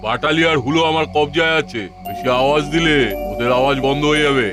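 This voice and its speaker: A man plays a boastful gang boss in a comic cartoon. His voice sits a little high, full of greedy swagger and menace, with music behind it. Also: fast, close to an everyday voice. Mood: menacing.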